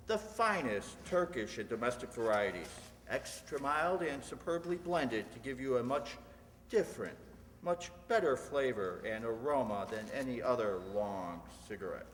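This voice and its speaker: A man's voice: medium pitch, a bit bright. A man announcing in a polished, emphatic 1950s radio advertisement style. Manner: emphatic salesmanship. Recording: reverberant hall, faint noise.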